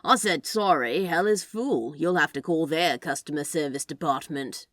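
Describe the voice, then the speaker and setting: A woman's voice: sits low, normal speed, fairly bright tone, no echo, studio quality. A woman voicing a sly, laid-back male office worker who plays at being a spy, with a drawling, hesitant, conspiratorial manner. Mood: sardonic.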